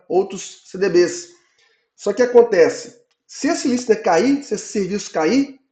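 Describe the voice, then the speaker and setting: A man, hoarse from a cold, giving an online database lecture and checking in with "tá?" after each point. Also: high-pitched, a little mellow, roomy sound. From Brazil. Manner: explains in repeated checks, ending phrases with "tá?". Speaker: database instructor.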